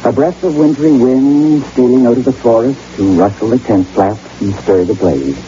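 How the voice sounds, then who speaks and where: An actor playing a nervous hunter narrating an eerie ordeal in a brooding, deliberate way for an old radio drama. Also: male voice, mid-range voice, warm tone, a little hiss or hum, natural voice. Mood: tense, foreboding. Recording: muffled old radio recording over music.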